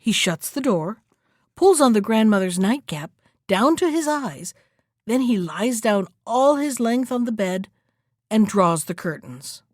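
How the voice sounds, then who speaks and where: Female folktale narrator, slightly high voice, lively and expressive, recorded dry. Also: a little slow, no echo. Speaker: storyteller.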